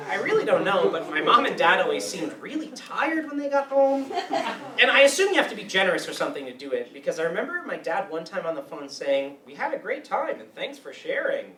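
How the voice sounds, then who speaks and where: An earnest, lovestruck young guy played by an actor in a play reading, awkward and hesitant. The pitch moves around a lot, and the voice is picked up from a distance in an echoey room. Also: big pitch swings, fairly quick, bright, forceful tone. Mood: chatty, eager. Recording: roomy, distant mic, faint noise.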